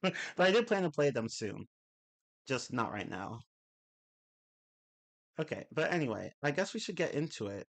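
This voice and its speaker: A man's voice: medium pitch, very animated, normal speed, vocal fry, pauses often, a bit bright. Rambling young man streaming with viewers. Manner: rambling, self-correcting, casual swearing. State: slightly off voice after a cold. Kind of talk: casual live-stream chat, reading chat aloud.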